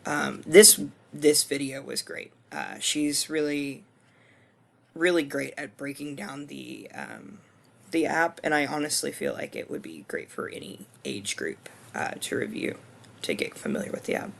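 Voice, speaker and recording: A man in his twenties with a hoarse voice, talking through a course screencast reflection in a casual, hesitant way full of ums and restarts. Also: medium pitch, lively intonation, unhurried, fairly bright tone. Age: 20s. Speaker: student.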